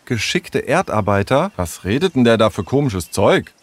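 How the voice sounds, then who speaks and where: A man's voice: medium pitch, very animated, normal speed, no echo. A man voicing a proud, outspoken boy in a storybook reading.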